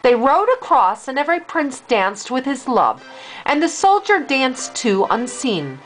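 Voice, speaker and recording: An older woman, a grandmother, reading a fairy tale aloud in an expressive storytelling way for a child. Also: high voice, unhurried, soft background music, low-quality recording.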